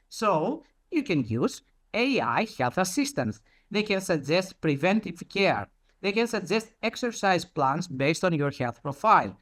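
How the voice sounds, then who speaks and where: A conference presenter with a mid-range voice and very animated intonation. The delivery is explanatory and didactic, built around rhetorical questions that the speaker then answers. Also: mid-range voice.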